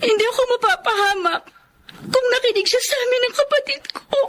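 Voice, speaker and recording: High-voiced, polite young radio-drama heroine. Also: female voice, brisk, a bit bright, a little hiss or hum. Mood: hurt, resentful. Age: young adult. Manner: polite, deferential to elders. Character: Chona, hurt, candid young woman, resentful daughter, polite employee. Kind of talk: Filipino radio drama acting.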